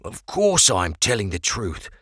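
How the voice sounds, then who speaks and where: A man voicing a frightened, pleading merchant locked in a cell, pushing his voice high and strained. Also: sing-song, normal speed, fairly bright tone, husky, no echo, studio quality, full character voice. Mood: insistent.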